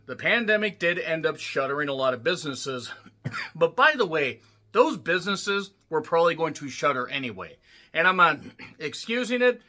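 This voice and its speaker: A man with a slightly rough, worn voice delivers a film review in an unscripted monologue. He talks bluntly and forcefully, swears freely and keeps clearing his throat. His tone is sharp and bright. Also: on the high side. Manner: blunt, profane ranting with frequent throat clearing. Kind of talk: casual spoken movie review.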